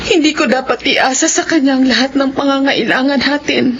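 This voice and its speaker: A woman's voice: high-pitched, a little fast. An actress playing a loving, determined middle-aged mother in a Filipino radio drama, earnest and emotional, with a Filipino accent. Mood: resolute, tender. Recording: dull, noisy radio drama audio.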